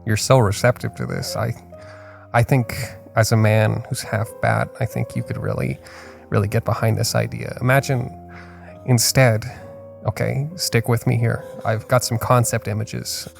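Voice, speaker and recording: Guy playing a president pitching his scheme, halting, coaxing, bit of fry, mid pitch, improv audio comedy. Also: faint music behind. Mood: coaxing, eager.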